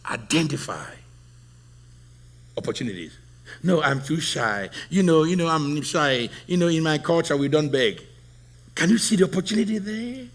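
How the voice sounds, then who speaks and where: A male pastor delivers a sermon in a husky, somewhat high voice. He is emphatic and drives points home by repeating them. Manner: emphatic, repeats phrases for stress.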